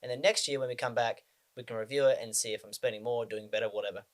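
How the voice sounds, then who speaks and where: A man's voice: mid-range voice, steady tone, fast. A man in his twenties from Australia, talking casually to the camera about personal finance, rambling with frequent ums and restarts. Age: 20s.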